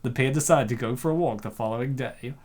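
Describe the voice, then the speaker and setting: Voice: medium pitch, fast talker, darkish tone. Jokey comic-dub narrator with rambling asides. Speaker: host, narrator and comic dubber. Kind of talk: comic dub narration with casual joking commentary.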